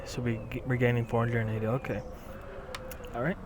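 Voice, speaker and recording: A man's voice: mid-range voice, flat delivery, brisk. Young man thinking aloud over game music. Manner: musing, thinking aloud while clicking. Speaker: gaming channel host. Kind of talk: casual gameplay commentary.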